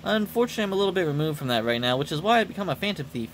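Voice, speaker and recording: A man's voice: a little high, lively intonation, rapid-fire, fairly bright tone, a little hiss or hum. A man voicing a scheming, theatrical vampire woman turned phantom thief in a video game. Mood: smug. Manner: confident, theatrical.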